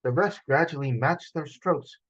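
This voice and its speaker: A man reading a children's story aloud in an expressive, animated storytelling style, with dramatic gasps and sound effects. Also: fairly high pitch, moderate pace, muffled recording. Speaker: children's author reading his book aloud. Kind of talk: animated picture-book storytelling.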